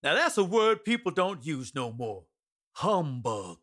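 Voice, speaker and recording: A man's voice: fairly high pitch, very animated, unhurried, a bit bright. A male actor playing a wry, irreverent storyteller in a radio drama, colloquial and folksy, addressing listeners directly. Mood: wry, explaining.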